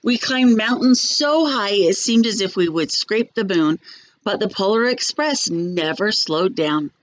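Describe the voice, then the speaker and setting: Brief: female storybook reader, mid-pitched, expressive and measured, teacherly delivery for children. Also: no room sound. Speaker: teacher reading a picture book aloud. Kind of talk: storybook read-aloud.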